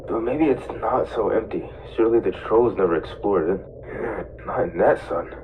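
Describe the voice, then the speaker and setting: A man playing a young, burdened but determined elf chief in a dramatic comic dub, speaking gravely and deliberately. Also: fairly low pitch, noisy background, natural voice. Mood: resolute, hopeful. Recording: dull muffled audio under loud music. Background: loud music.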